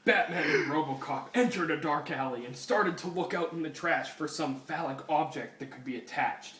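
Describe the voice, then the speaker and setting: A man's voice: a little high, normal speed, a bit bright. A man doing a comedic dramatic reading of a story, mock-dramatic and breaking off to comment. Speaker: fan fiction reader. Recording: roomy, distant, noisy home recording.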